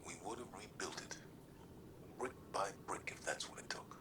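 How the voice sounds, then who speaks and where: An actor playing a stern older male commander in a video game cutscene, speaking in a measured, reserved, near-whispered way. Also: fairly low pitch, animated, close to an everyday voice. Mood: reflective.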